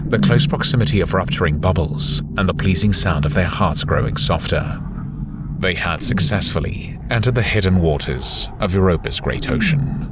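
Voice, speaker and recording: A man giving a dramatic scripted narration of an adventure story in a measured, suspenseful way. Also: low voice, heavy background noise. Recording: loud music under the voice. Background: loud music.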